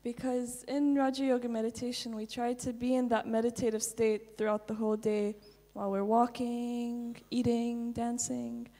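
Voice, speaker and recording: A young woman from Kuwait, soft-spoken and unhurried, talks with many fillers. Her voice sits a little high but stays flat and dark in tone. She is heard through the long reverb of a large conference hall. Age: young adult. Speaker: conference speaker and stretch guide. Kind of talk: conference interview and guided stretching.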